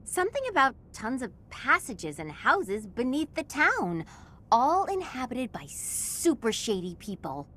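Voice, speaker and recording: A woman's voice: high-pitched, huge pitch swings, measured pace, fairly bright tone, full character voice. An actress voicing a perky, chatty young female student in a video game, breezy and informal. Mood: curious, chatty.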